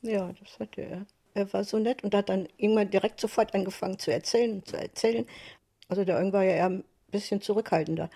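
A woman's voice: medium pitch, steady tone, fast talker, vocal fry, dark tone, slightly rough. An older woman casually recalling memories as an interviewee.

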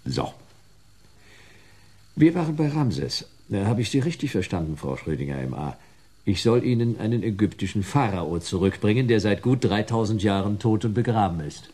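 An actor playing a hard-boiled private detective in a German sci-fi radio play, curt and drily sarcastic. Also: male voice, on the low side, expressive, moderate pace, dark tone, husky. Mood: dry, needling.

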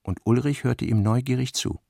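A man's voice: mid-range voice, moderate pace, gravelly, darkish tone, no echo, studio quality. A man narrating a literary radio drama, reading scripted prose as the storyteller.

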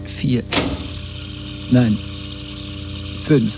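An actor playing a worn-down, desperate but stubbornly honest prisoner in a 1970s German radio play, giving terse, halting, strained answers. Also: male voice, mid-range voice, creaky, music in the background, poor audio. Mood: desperate, confused.

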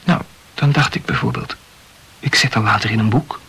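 Casting: wordy, rationalizing lover for radio drama, very husky and breathy, self-absorbed philosopher. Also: male voice, fairly low pitch, very animated, normal speed, bright, forceful tone, full character voice. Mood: musing. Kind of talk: intimate radio play dialogue.

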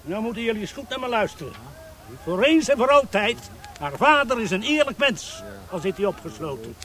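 An actor playing a commanding, protective rebel uncle in a radio drama, speaking in a firm, declamatory way. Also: male voice, high-pitched, very animated, fairly quick, pushed and bright, exaggerated voice. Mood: firm, rousing.

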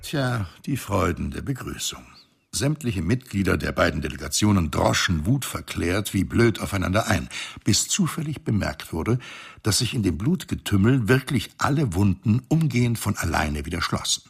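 An actor playing the genial, ancient mind of a space station, telling its history wryly in a husky, gravelly voice. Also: male voice, sits low, big pitch swings, moderate pace, exaggerated voice. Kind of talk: storytelling narration in science fiction radio play.

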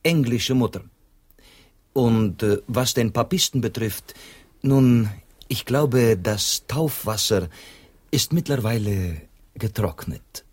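A man's voice: mid-range voice, takes it slowly, darkish tone, slightly rough. A man playing a wry, courteous half-poet in a German radio drama. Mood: courteous, ironic. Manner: courteous, ironic, peppering speech with Spanish.

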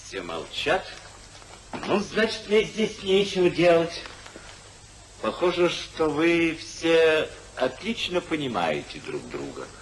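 An actor playing a rakish, witty young gentleman with a teasing, theatrical manner in a 1950s radio comedy. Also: fairly high pitch, big pitch swings, slow pace, low-quality recording. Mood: mocking, triumphant. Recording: hissy 1950s radio play recording.

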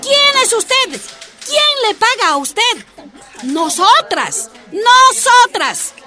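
Defiant miner's wife, really high bright voice, radio play. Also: female voice, sing-song, very slow, faint music behind, exaggerated voice. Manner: defiant, confrontational. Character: defiant young mother, miners' wives' leader. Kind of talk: radio drama acting.

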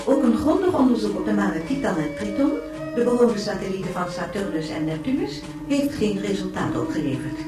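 An actress playing a formal, composed newsreader, delivering a measured scripted bulletin in a science-fiction radio play. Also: female voice, medium pitch, very animated, close to an everyday voice. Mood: formal, grave. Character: formal, composed female newsreader of a future age. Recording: roomy, distant sound over faint music.

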